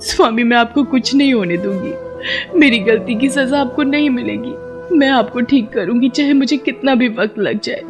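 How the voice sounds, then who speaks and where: A woman's voice: on the high side, normal speed, pitched above the performer's own voice, natural voice. Woman acting earnest young devout wife, music behind. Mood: remorseful, pleading. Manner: earnest, emotive. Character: devout, stubborn, tender young married woman in folktale.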